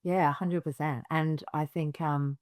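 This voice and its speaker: A woman's voice: low-pitched, steady tone, measured pace, darkish tone, no room sound, clean studio sound. A middle-aged woman from Hong Kong, a business founder giving direct, emphatic advice in a casual interview, with frequent fillers and restarts.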